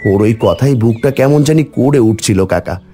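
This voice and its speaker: A man's voice: medium pitch, lively intonation, moderate pace, mellow, faint music behind, lightly acted. A man voicing a humble, hardworking young farmer, tender and devoted, in a village cartoon. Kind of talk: cartoon story dialogue.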